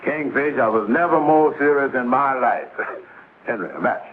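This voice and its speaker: A man playing a slow-witted, lovestruck middle-aged suitor with a hesitant, folksy drawl in a 1950s sitcom. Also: mid-range voice, animated, lightly acted. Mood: smitten. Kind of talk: 1950s American TV sitcom acting. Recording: hissy, phone-like vintage TV soundtrack.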